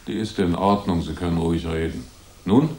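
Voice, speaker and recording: A man's voice: low-pitched, a little fast, a little mellow, natural voice. An actor playing a big, bossy gunman in a noir radio drama, curt, commanding and threatening. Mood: menacing, curt.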